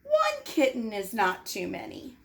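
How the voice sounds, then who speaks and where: A woman's voice: a little high, wildly swooping pitch, unhurried, creaky, exaggerated voice. A woman voicing an earnest little girl in a storybook, exclaiming and pleading in simple childlike phrases. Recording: noticeable background noise.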